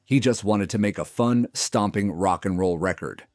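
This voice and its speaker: A man's voice: lowish voice, normal speed, a little mellow. A man reading a scripted music countdown as its narrator.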